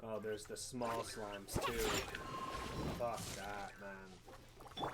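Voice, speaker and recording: A woman's voice: rumbling low, steady tone, drawn-out words. A young adult woman giving casual, sardonic, sweary reactions as she livestreams a game. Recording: loud noise, keyboard clatter.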